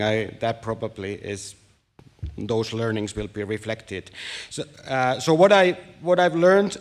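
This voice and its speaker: A man who grew up in Tanzania answers as a conference panelist in a medium-pitched voice. He talks things through, restarting sentences as he goes. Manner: relaxed, thinking aloud with restarts. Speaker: panelist, university focus area lead.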